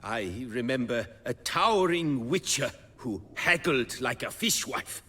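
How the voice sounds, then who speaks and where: An actor playing a proud, wistful master armorer in a video game, telling tales in a formal, measured manner. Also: male voice, mid-range voice, lively intonation, sharp tone, husky, full character voice. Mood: measured, recounting. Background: music.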